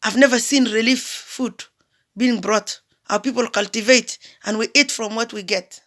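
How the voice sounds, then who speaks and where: A woman's voice: fairly high pitch, steady tone, normal speed, a bit bright. A woman from South Sudan giving an impassioned political address, emphatic, repeating phrases and asking rhetorical questions. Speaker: diaspora commentator.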